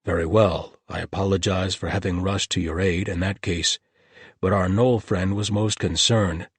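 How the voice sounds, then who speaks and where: A man voicing a formal, stiff male paladin who speaks in a measured way. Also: deep voice, fairly even intonation, breathy edge, no echo.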